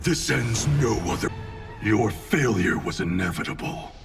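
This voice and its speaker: An actor playing a proud, cold ninja clan grandmaster in a video game, curt and imperious in manner. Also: male voice, fairly low pitch, lively intonation, measured pace, gravelly, distant mic, music in the background. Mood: defiant. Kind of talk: video game cutscene acting.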